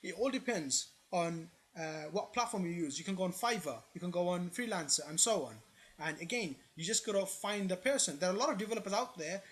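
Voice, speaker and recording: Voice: medium pitch, expressive, fairly quick. An online presenter giving informal explanatory commentary in an opinionated, emphatic manner, often checking in with the listener.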